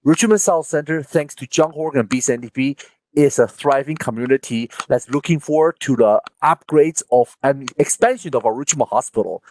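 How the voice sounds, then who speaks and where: A man speaks as a candidate at an online panel, pushing his points emphatically and lifting his pitch on the big lines. His voice sits in a middle range and sounds dry and close. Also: moderate pace, darkish tone, no room sound, rough recording. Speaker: political candidate. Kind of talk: campaign speech at online panel.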